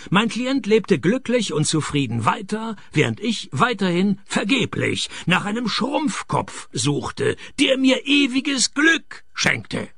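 A man acting a wry, deadpan private detective in a radio play. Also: sits high, slow pace, creaky, few pauses, slightly rough, heavily acted. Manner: dry, deadpan. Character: wry, deadpan private detective narrating his own cases.

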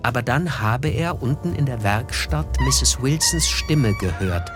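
A man narrating a radio play in a measured, reflective way. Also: mid-range voice, lively intonation, music in the background. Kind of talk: scripted literary narration.

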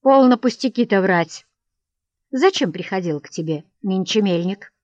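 A woman's voice: lowish voice, animated, moderate pace, a little mellow, no room sound, dull audio, natural voice. A woman voicing a furious middle-aged landowner's wife who shrieks and wails reproaches in an audiobook reading. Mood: scolding. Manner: screeching, wailing reproaches.

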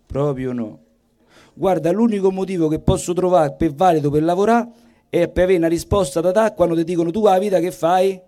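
A man with a Roman accent performing theatrical comic storytelling in an expressive, colloquial, declamatory way. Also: a little high, a little fast. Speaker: stage performer, monologist and singer. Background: plucked guitar.

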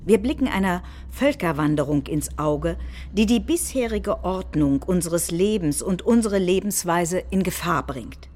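A woman's voice: lowish voice, lively intonation, measured pace, a little mellow, a little hiss or hum. A woman playing a combative nationalist head of government, delivering a political speech in a staged reading, solemn and declamatory.

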